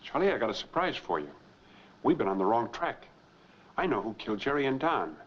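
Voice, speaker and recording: An actor playing an earnest, framed truck driver in a 1940s American film noir, speaking in a plain-spoken way. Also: male voice, medium pitch, sing-song, brisk, breathy edge. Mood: urgent, confident. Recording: dull 1940s film soundtrack, faint music.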